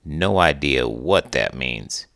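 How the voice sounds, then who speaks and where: A man's voice: very deep voice, expressive, slow pace, fairly bright tone, dry recording, studio quality, voice pushed down, exaggerated voice. A man voicing a folksy old cowboy sidekick who rambles wryly and grumbles in a children's storybook show. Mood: puzzled.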